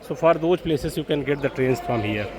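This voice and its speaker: A man's voice: a little high, normal speed, a little mellow, low-quality recording. A man with an Indian accent gives an informal walk-through commentary as a travel vlogger, explaining things in a repetitive, hesitant way. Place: public railway station, on the move. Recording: busy station crowd and vehicle noise.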